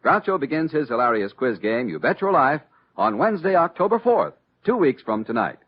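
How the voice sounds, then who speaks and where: A man's voice: medium pitch, big pitch swings, a little fast. An American man announcing in a polished, emphatic 1950s network radio style. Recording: muffled old radio broadcast recording.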